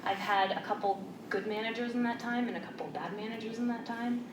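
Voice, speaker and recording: A woman's voice: a little high, steady tone, fairly quick. Woman speaking casually in an echoey hall. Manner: casual, with frequent "like" fillers. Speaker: panel speaker. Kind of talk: conversational talk to an audience. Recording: roomy far-off hall recording with noise.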